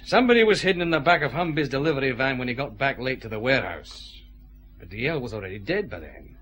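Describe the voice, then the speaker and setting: An actor with a Scottish accent playing a shrewd, methodical detective chief inspector who lays out his accusations deliberately in a radio drama. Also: mid-range voice, big pitch swings, a bit bright. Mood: methodical, accusing. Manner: measured, accusing, deliberate interrogation.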